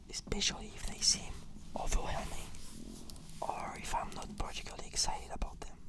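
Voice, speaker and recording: A woman whispering measured, articulate, scripted answers as the client in an ASMR roleplay. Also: poor audio.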